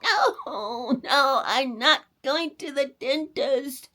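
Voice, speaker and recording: A woman voicing an excitable young boy in a storybook, exclaiming as he talks. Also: high-pitched, drawn-out words, voice pushed up, full character voice. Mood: frightened.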